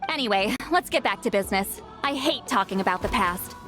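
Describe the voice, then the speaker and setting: A woman's voice: medium pitch, animated, fairly quick, music in the background, natural voice. A woman voicing a blunt, grumbling, centuries-old shaman in a scripted video game. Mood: dismissive. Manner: brusque, grumbling, casual. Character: centuries-old female shaman, youthful-sounding, blunt and testy.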